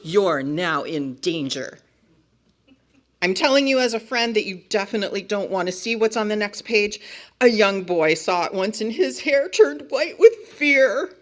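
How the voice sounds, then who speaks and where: A woman's voice: medium pitch, expressive, pitched above the performer's own voice, natural voice. A woman reading a picture book aloud as a frantic, bossy narrator who scolds and begs the reader in an exasperated, melodramatic way. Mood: exasperated, scolding. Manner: exasperated, melodramatic, emphatic pleading with drawn-out warnings. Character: frantic, bossy, pleading storybook narrator begging reader to stop. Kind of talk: dramatic children's picture-book read-aloud.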